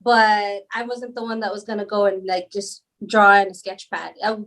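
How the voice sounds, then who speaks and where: A woman's voice: mid-range voice, steady tone, normal speed, fairly bright tone. A woman from Palau, a podcast guest, talking casually and laughing often as she tells her story. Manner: rambling and laughing easily. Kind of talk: casual interview chat.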